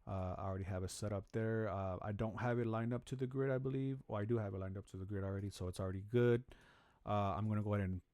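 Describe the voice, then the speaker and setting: A man hosting a music production tutorial from a home studio, talking through his steps in a relaxed, rambling way with lots of fillers and restarts. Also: fast talker, no echo. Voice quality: deep voice. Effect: auto-tune pitch correction on some lines. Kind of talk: casual screen-recorded tutorial. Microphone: studio vocal microphone.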